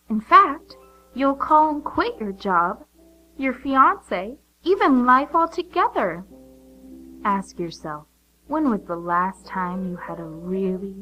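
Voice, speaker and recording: Female ad announcer, slow delivery, medium pitch, scripted sales read, faint music underneath. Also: a bit bright, low-quality recording. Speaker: announcer in a spoof commercial.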